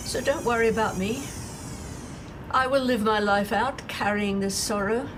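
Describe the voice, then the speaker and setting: A woman playing a bitter, sorrowful woman left on a doomed Earth, in a deliberate, reproachful dramatic monologue. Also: mid-range voice, lightly acted. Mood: wistful sorrow. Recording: clearly noisy recording.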